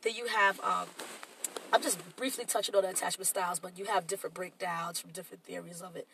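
A woman's voice: fairly low pitch, fairly even intonation, fast, vocal fry, sharp tone, far from the mic, slight background noise. A woman co-hosting a casual talk show, sounding congested and nasal, who explains her points at length and reflects on her own experience. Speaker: podcast co-host. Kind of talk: casual chat.

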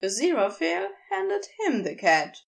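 A woman narrates a piece of fiction in a fairly high, highly expressive voice. Her delivery is unhurried, with a clearly creaky edge as she reads. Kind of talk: scripted story narration.

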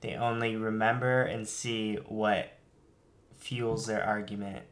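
A man's voice: on the low side, level tone, slow. A young man co-hosting a podcast, chatting casually and animatedly, jumping between tangents and laughing often. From Filipino family background. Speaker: podcast co-host. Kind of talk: casual chat.

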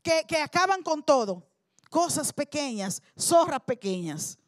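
A woman's voice: high voice, very animated, measured pace, no room sound, studio quality. A woman preacher delivering a sermon, emphatic and repeating key words for effect.

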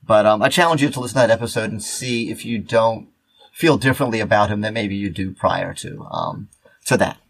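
A man's voice: fairly low pitch, brisk, vocal fry, breathy edge. Casual, joking male podcast co-host. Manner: joking, rambling. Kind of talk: casual chat.

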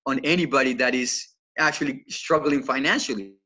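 A man's voice: mid-range voice, a little fast, pushed and bright. A man in his twenties from Colombia, speaking English with a Colombian Spanish accent, telling his story as a podcast guest in an earnest way, often repeating words as he restarts. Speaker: podcast guest, financial coach. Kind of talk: casual podcast interview.

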